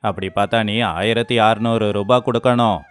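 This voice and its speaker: A man's voice: lowish voice, fairly even intonation, fairly quick, clean tone, close to an everyday voice. A man playing a greedy, cunning village moneylender in a moral story, sneering and domineering. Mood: mocking, accusing. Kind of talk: dramatized moral story voice acting.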